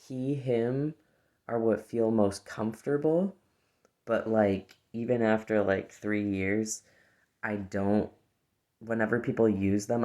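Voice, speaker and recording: Young transmasculine vlogger, deep voice, unhurried and halting, chatting reflectively to camera. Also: male voice. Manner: hesitant, halting, full of restarts and "like". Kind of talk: casual reflective monologue.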